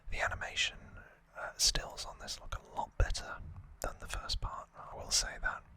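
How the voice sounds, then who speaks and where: An ASMR host speaking in an unhurried, informal whisper, chatting through commentary close to the microphone. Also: bright, forceful tone, no room sound. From United Kingdom. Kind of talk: whispered ASMR, relaxed show-and-tell commentary.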